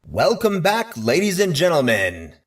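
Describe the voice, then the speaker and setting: A man's voice: fairly high pitch, huge pitch swings, a little slow, voice pushed up, exaggerated voice. A man voicing a formal, showy male master of ceremonies who announces to an audience in an audio drama.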